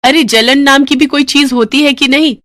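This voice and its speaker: A woman voicing a sharp-tongued, defensive wife in a cartoon story, brazen and sarcastic with dramatic retorts. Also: sits high, fairly even intonation, normal speed, dry recording, clean studio sound, voice pushed up. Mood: mocking, defiant. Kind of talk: Hindi cartoon story dialogue.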